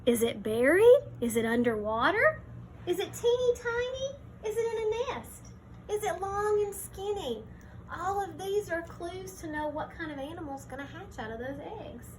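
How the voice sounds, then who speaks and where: A woman teacher reading a picture book aloud to young children, pausing to explain things simply and point them out. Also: very high voice, big pitch swings, a little hiss or hum.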